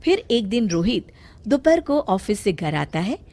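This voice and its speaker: A woman's voice: mid-range voice, very animated, measured pace, mellow. A woman reading scripted storybook narration for a cartoon, as the storyteller.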